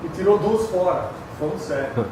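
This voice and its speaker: High-voiced Brazilian pastor in an echoey hall. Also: male voice, expressive, moderate pace. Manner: chatty storytelling, frequent tag questions, laughing asides. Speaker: pastor, retreat speaker. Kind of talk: humorous personal testimony sermon. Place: large echoey hall at a retreat. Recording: very echoey hall, distant mic, background noise.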